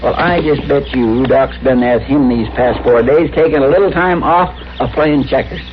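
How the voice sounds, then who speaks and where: An actor playing a good-natured cowboy deputy sidekick in a radio Western, with a rural Western American drawl and a folksy, rambling, deferential way of talking. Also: male voice, medium pitch, moderate pace, close to an everyday voice. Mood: earnest, hopeful. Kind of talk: 1960s radio Western acting. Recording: dull, very noisy vintage radio recording.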